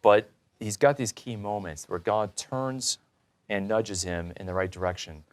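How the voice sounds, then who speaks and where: Male interview host, lower-pitched, conversational delivery, asking questions and offering commentary. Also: unhurried. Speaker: talk show host.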